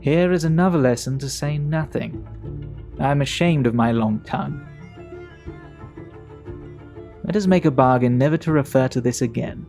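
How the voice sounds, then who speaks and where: A man playing a worldly, talkative Victorian English gentleman in an audio drama, speaking in a measured, gossipy, fastidious way. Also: medium pitch, gravelly, faint music behind, close to an everyday voice. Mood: sullen, regretful.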